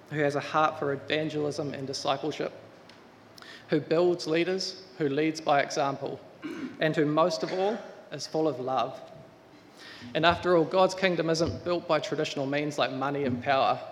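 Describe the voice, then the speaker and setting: Man, church address, earnest and measured, slightly high, reverberant hall. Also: fairly even intonation. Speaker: search committee member. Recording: reverberant church hall, faint room noise.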